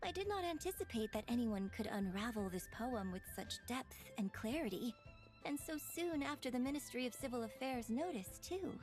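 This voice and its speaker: A woman's voice: on the high side, lively intonation, moderate pace, a little mellow, faint music behind, close to an everyday voice. A woman voicing a courteous, cultured young lady in a video game, with polite, eloquent and formal phrasing. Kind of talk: scripted video game dialogue.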